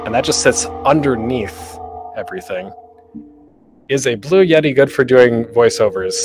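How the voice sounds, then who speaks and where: A man hosting an instructional talk in a casual, rambling way, often interrupting himself. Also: medium pitch. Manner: informal, rambling, self-interrupting. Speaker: panel host teaching audio drama production. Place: close, dry home recording for an online panel.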